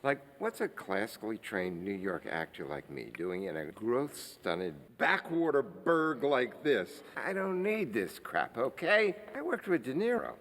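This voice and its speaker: A man's voice: medium pitch, sing-song, unhurried, hardly any pauses, fairly bright tone. A man playing a pompous, short-tempered New York stage actor in a film, speaking in a haughty, theatrical way. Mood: indignant. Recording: echoing hall with long reverb.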